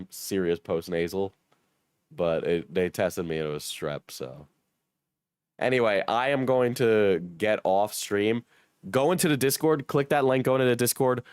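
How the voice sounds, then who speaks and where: A hoarse young man with a cold, joking and swearing casually as he reads his chat on a livestream. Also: fairly low pitch, no echo, studio quality. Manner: joking, self-mocking, swears. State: hoarse, sick. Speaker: streamer reading chat.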